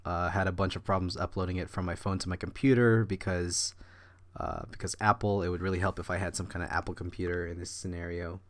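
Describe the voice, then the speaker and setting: Low-voiced man, a little sick. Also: level tone, fast talker, dry recording. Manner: rambling, meandering delivery. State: slightly sick with a cough. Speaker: artist and channel host. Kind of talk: casual voice-over commentary on a drawing time-lapse.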